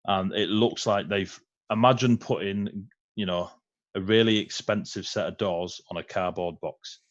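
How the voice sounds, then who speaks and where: A man's voice: deep voice, no room sound, dull audio. A man running a tabletop game as game master, chatty and rambling, with fillers and laughs.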